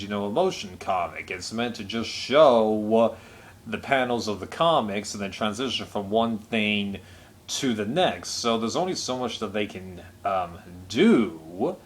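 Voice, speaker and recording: A man with a somewhat low-pitched voice speaks as a reviewer giving his casual take. His delivery is unscripted and rambling, measured as he qualifies his opinions.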